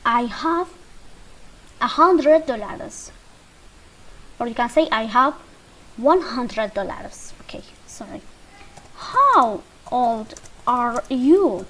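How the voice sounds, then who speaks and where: Slow, lively woman teacher. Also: fairly high pitch, low-quality recording. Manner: slow, drawn-out drilling with repetition. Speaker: English teacher. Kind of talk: slow beginner English lesson.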